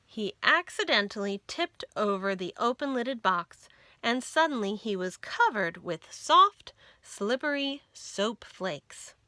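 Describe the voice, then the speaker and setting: A woman reading a children's story aloud as expressive narration, with deliberate, dramatic phrasing. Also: on the high side.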